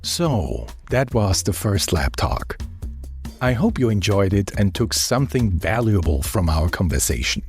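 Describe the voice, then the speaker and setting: A man's voice: fairly low pitch, big pitch swings, measured pace, a little mellow, faint music behind. A man with an Austrian accent hosting a podcast interview, upbeat and friendly, with frequent ums. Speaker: podcast host.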